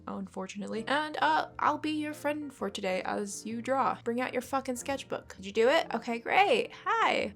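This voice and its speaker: A woman's voice: fairly high pitch, gravelly, fairly bright tone. A congested woman in her twenties chatting casually and rambling while she sketches, swearing often, recorded at home on a desk microphone. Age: 20s. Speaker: art vlogger. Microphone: USB desk microphone.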